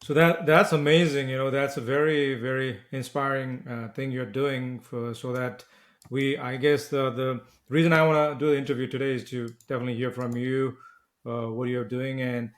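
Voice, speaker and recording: A man's voice: mid-range voice, flat delivery, normal speed. A man from Nepal hosting a podcast interview, rambling and repeating words as he frames his questions. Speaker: podcast host.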